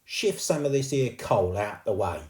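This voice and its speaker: A man voicing a rough, cocky boy ghost with a Cockney accent, teasing and slangy. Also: fairly low pitch, animated, moderate pace, a little mellow, voice pushed up, natural voice. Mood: bossy.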